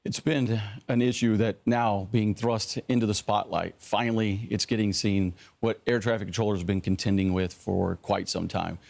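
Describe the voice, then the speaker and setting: A man with a lowish, creaky voice speaks as a union leader in a news interview. His delivery is that of a practised spokesman, emphatic and polished as he argues for workers. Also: darkish tone. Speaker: union president, interview guest.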